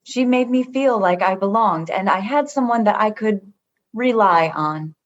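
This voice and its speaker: An actress playing an earnest, kindly android lieutenant in a comedy radio play, formal and precise. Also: female voice, mid-range voice, lively intonation, measured pace, highs cut off, lightly acted. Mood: warm sincerity. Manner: formal, precise, avoids contractions. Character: earnest, literal-minded, kindly female android junior lieutenant.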